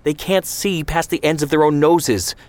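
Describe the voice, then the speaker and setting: A man's voice: mid-range voice, normal speed, voice pushed up, lightly acted. A man voicing an idealistic young man who argues with rising outrage. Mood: heated. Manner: outraged, pleading.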